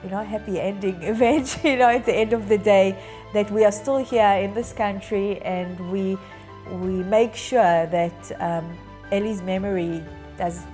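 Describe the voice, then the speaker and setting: A woman in her fifties giving a reflective, halting and earnest personal account in a documentary interview. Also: mid-range voice, fairly even intonation, warm tone. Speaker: interviewee, bereaved daughter. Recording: music underneath. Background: music.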